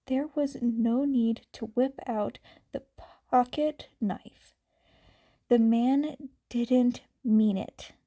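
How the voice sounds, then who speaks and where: A woman reading a fan fiction story aloud in a quiet, calm way. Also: a little high, very slow. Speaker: podfic reader.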